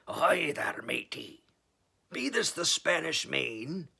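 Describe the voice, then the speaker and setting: A man voicing a loud, boisterous pirate captain in a storybook read-aloud, with hearty bellowing pirate talk. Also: a little high, very animated, slow, creaky, breathy edge, voice pushed up, exaggerated voice.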